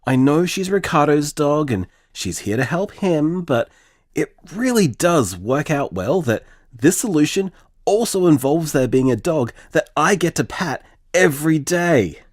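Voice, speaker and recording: An actor playing a blissful, affectionate, mock-grumpy boyfriend leaving a conversational bedtime voicemail in an audio drama. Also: male voice, on the high side, big pitch swings, unhurried, no room sound, clean studio sound. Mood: happy, affectionate.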